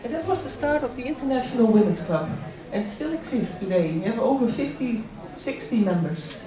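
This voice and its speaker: A middle-aged woman from the Netherlands gives a personal career talk in a conversational way. She is picked up from a distance in a very echoey space, with clear crowd sound around her. She leans on repeated words to make her points. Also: a little high, expressive, fairly quick. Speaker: invited speaker at a career event. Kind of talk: personal motivational talk with slides. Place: large room at an employment event. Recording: echoey hall, distant mic, audience noise.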